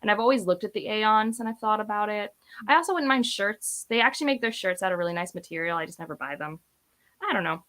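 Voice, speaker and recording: Woman, bright and forward voice, casual book-vlog co-host, talkative and self-deprecating. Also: medium pitch, animated, quick pace. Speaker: book reviewer, read-along co-host. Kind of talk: casual chat.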